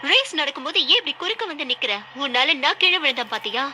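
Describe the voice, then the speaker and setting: A woman's voice: high voice, big pitch swings, quick pace, very noisy. A woman playing a feisty, confrontational young female dragon racer in a dubbed cartoon. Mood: angry. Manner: confrontational, urgent. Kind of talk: Tamil cartoon dub acting. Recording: loud music behind the voice.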